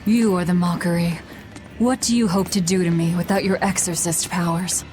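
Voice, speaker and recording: A woman's voice: on the low side, steady tone, moderate pace, over music, natural voice. A woman voicing a cold, blunt young female avenger in a video game, curt and stern. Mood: contemptuous. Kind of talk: video game cutscene voice acting.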